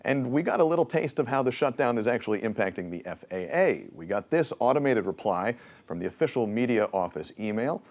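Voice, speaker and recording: A man's voice: medium pitch, expressive, brisk, darkish tone. A man reporting live for television news from a newsroom in a broadcast reporting style.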